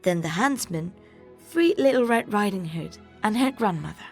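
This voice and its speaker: Lady reading a kids fairy tale, medium pitch, soft music behind. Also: animated, moderate pace. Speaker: storyteller. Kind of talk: scripted fairy tale narration for children. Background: faint music.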